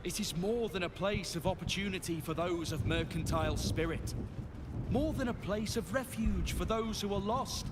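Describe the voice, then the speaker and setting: An actor playing a resolute city commander in a fantasy video game, speaking in a solemn, declamatory, stirring way. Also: male voice, high-pitched, moderate pace, very noisy, natural voice. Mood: solemn, rousing. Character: resolute male city commander rallying weary fighters. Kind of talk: video game cutscene acting, rousing speech.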